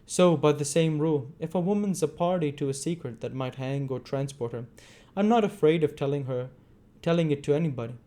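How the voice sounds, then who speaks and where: A man's voice: a little high, fairly quick, few pauses, dark tone, pitched above the performer's own voice, lightly acted. A man voicing a menacing, impatient, scornful male villain from a storybook, with barking, sneering retorts.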